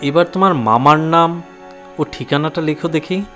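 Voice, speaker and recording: A man playing a gentle, educated young husband, a lawyer who speaks patiently and probingly in an audio drama. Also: a little high, moderate pace, faint music behind, natural voice. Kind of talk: Bengali audio drama acting.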